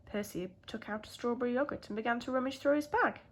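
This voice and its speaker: A woman's voice: a little high, quick pace. A woman with a British accent, a primary school teacher telling a story expressively to young children.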